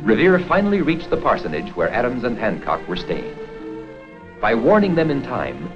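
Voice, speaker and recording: A man reading scripted documentary narration for an educational history film in a formal, measured storytelling manner. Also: fairly low pitch. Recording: dull 16mm film soundtrack with music.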